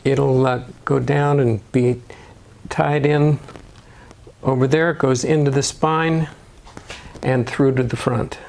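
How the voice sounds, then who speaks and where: A man narrating step-by-step DIY instructions in a measured, matter-of-fact way. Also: mid-range voice, steady tone, vocal fry, a little mellow. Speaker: motorcycle DIY video host.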